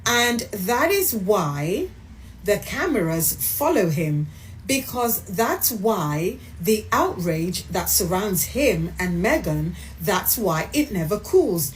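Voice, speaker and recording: A woman's voice: medium pitch, sing-song, slow, a little hiss or hum, low-quality recording. A woman delivering an emphatic opinion monologue with rhetorical questions. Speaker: commentator in a played clip.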